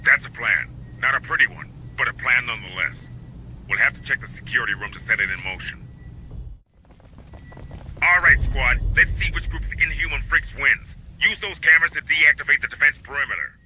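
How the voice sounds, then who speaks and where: An actor playing a dry, sardonic soldier advising marines in a video game. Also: male voice, medium pitch, expressive, brisk, pushed and bright, slight background noise, poor audio. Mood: wry, driven. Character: wry, battle-ready male military operative advising marines. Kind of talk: video game military dialogue.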